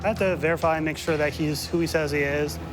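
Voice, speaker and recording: Man, border officer, brisk, businesslike, fast talker, slightly high voice. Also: fairly even intonation. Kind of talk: questioning and briefing. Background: background music.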